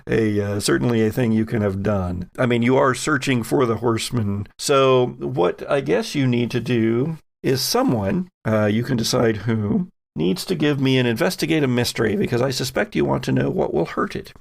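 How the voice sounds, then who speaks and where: A man's voice: medium pitch, moderate pace, creaky, few pauses. A man narrating a tabletop horror game as game master, dramatic in his scene-setting with wry asides.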